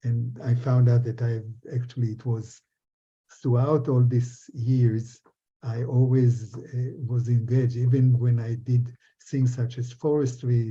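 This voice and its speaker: An older man from Israel giving reflective interview answers in a halting way, often repeating words and restarting. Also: medium pitch, mellow, breathy edge. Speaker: interviewee, business professor. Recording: dull, muffled lo-fi sound.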